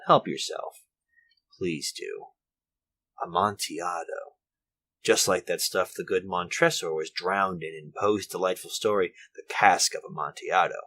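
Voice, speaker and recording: An elderly inventor in an old-time radio play, with a low, bright-edged voice. He is courteous and formal, rambling along with polite hesitations, a genteel invalid telling his story. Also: husky, exaggerated voice. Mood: polite, hesitant. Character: elderly, courteous infirm inventor of artificial limbs. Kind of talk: retro 1940s radio drama acting, monologue.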